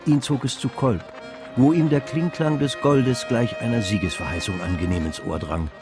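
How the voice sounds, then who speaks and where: A man's voice: mid-range voice, moderate pace. Male radio drama narrator over music.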